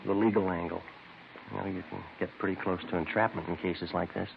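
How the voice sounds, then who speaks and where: Deep-voiced deadpan male cop, terse and brisk, old radio crime drama, tinny recording. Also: vocal fry, noisy background. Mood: matter-of-fact. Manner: deadpan, clipped. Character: terse, matter-of-fact male police sergeant narrating his case. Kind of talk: 1950s radio drama acting, clipped narration.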